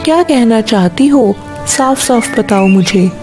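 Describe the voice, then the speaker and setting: A woman's voice: fairly high pitch, animated, measured pace. A woman voicing a meek, submissive male crow husband in a cartoon story, questioning and easily bossed. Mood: doubtful. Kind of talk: Hindi cartoon story dialogue. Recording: faint music behind the voice.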